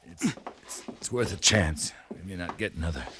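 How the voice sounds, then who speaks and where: An actor plays a steady American cavalry captain in an old-time radio western. His voice sits low and deep. He speaks tersely with courteous, measured authority. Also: noisy background, natural voice. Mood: strained, determined. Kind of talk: 1950s radio western acting. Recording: vintage 1950s radio recording.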